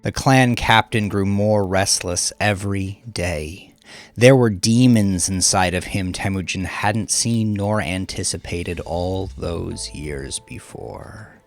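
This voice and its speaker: A man reading scripted dark crime fiction as a narrator, with measured, deliberate and dramatic phrasing that draws out the ends of lines. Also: sits low, faint music behind.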